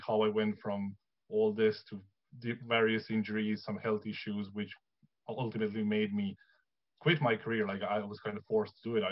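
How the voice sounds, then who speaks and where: Young male guest, low-pitched, earnest storyteller with lots of fillers, dull lo-fi video-call sound. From Croatia. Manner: earnest, many likes and restarts. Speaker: guest, basketball coach. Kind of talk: casual interview storytelling. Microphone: video call with headset mic.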